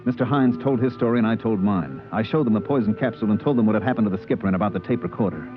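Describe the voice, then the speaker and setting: An actor playing a decent, wary spaceship purser, telling and acting the story in 1950s radio drama style. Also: male voice, fairly low pitch, very fast, close to an everyday voice. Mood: reflective. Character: plain-spoken male spaceship purser, wary, decent, reflective. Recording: narrow-band old radio with music.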